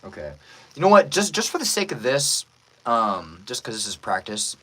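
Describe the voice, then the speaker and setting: A man who is a voice actor, chatting casually with livestream viewers in an animated manner. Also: mid-range voice, normal speed. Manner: animated, reads out viewer comments. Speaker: voice actor, streamer.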